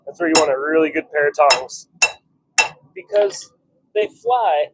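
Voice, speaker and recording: A man chatting casually on a live stream, thinking out loud as he works in his workshop. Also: a little high, sing-song, measured pace, heavy background noise. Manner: chatty, thinking out loud while working at the forge. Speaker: livestream host, hobby blacksmith. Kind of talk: casual live-stream chat while working. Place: home forge workshop.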